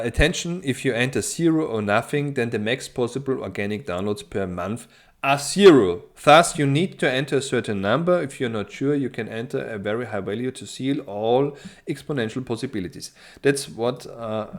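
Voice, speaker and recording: Austrian man with a German-speaking accent, mid-pitched, friendly and halting tutorial voice-over. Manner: hesitant, many ums and restarts, friendly. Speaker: tutorial presenter. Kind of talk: screen-share software tutorial.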